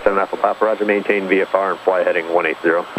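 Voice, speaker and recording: A man rattles off air traffic instructions at great speed in a low, flat voice. He is procedural throughout, heard over aviation radio. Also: very fast, dull audio. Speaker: approach and departure air traffic controller. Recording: noisy band-limited aviation radio.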